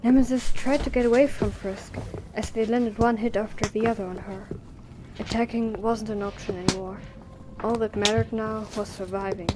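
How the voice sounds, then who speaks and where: A woman's voice: mid-range voice, fairly even intonation, normal speed, background noise. A woman narrator reading a scripted story for an audio drama.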